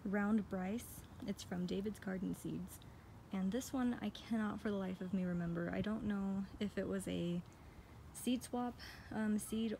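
A woman's voice: mid-range voice, creaky, darkish tone, slight background noise, low-quality recording. An American woman giving a casual, chatty and enthusiastic walk-through narration as a vlogger, recorded outdoors on a handheld phone. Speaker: vlogger giving a garden tour. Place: outdoors in a backyard garden. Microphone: handheld phone behind the camera.

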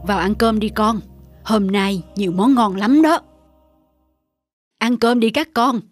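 A woman voicing a gentle, wise and patient older mother-in-law in an animated moral tale. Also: mid-range voice, measured pace, lightly acted. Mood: gentle, coaxing. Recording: background music under the voice.

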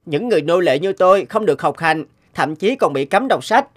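A man's voice: high voice, lively intonation, a little fast. An actor playing a humble, earnest sixteen-year-old enslaved boy inventor in a cartoon, polite and sincere. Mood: grateful. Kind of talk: cartoon dubbing.

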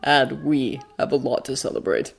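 A woman voicing a fond, teasing male guardian in a story, with a dry, low-key manner. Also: normal speed, vocal fry, breathy edge, heavily acted. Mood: reassuring.

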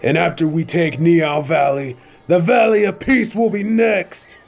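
A man's voice: on the high side, steady tone, unhurried, gravelly. A man voicing a brash, menacing wolf bandit leader in an audio roleplay, taunting and swaggering as he orders his gang about. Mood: sneering menace. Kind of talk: scripted fan audio roleplay acting.

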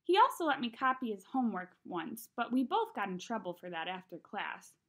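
A young woman reads a children's novel aloud in an expressive, conversational way, acting out the dialogue. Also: on the high side, a little fast, husky. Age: young adult. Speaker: read-aloud narrator. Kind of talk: expressive audiobook-style reading of a children's novel. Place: small room at home.

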